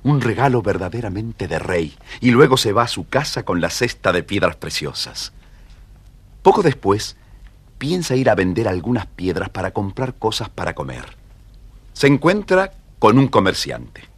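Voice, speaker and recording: A man's voice: lowish voice, sing-song, normal speed, breathy edge, no room sound. A man with an Argentine accent giving scripted narration of a children's story.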